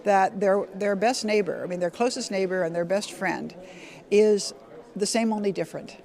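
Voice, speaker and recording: A woman's voice: mid-range voice, normal speed, gravelly, a little mellow. A woman giving a spontaneous comment as an interviewee.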